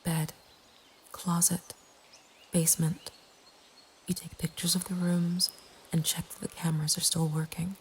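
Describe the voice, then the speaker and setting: A woman narrating a scripted horror story in a breathy ASMR whisper, with a hushed, deliberate delivery. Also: on the low side, level tone. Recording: faint ambient background noise. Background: soft nature ambience.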